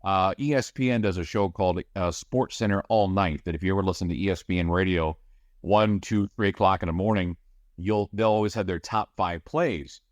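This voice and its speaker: A man's voice: sits low, brisk, no room sound. A middle-aged man, a radio play-by-play broadcaster, giving chatty, rambling interview answers with many restarts. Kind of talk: casual podcast interview answers.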